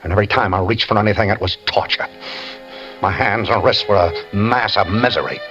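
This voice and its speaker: A man's voice: lowish voice, brisk, music in the background, lightly acted. An actor playing a handcuffed escaped prisoner who narrates his story in wry, tense first person, in 1940s radio acting style. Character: handcuffed escaped male prisoner, wary and scheming. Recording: dull old radio broadcast.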